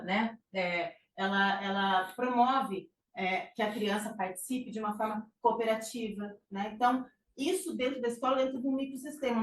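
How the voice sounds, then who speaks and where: A middle-aged Brazilian woman giving an informal psychology talk, with frequent fillers and restarts. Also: on the high side. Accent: Brazilian Portuguese. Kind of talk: conversational lecture presentation. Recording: echoey room, distant mic, faint noise.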